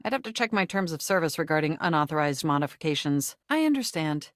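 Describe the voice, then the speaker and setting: A woman's voice: sits low, animated, fast talker, no room sound, studio quality. A woman voicing a sentient home computer in a dating video game, nerdy and romantic, with flirtatious, theatrical delivery and formal phrasing. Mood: polite, measured. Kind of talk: video game character voice acting.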